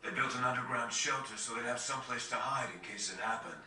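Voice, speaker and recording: A man's voice: medium pitch, fast, bright, forceful tone. A man voicing a chatty, mischievous young townsman in a video game. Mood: explaining. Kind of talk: video game cutscene voice acting. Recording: echoey, slightly distant game audio.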